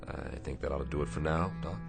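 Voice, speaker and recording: Navy captain, really deep voice, in charge, dry and wry, radio play style. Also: male voice, creaky, over music. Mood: businesslike. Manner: authoritative, measured, dryly wry. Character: commanding, wry male Navy destroyer captain. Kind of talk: radio drama acting.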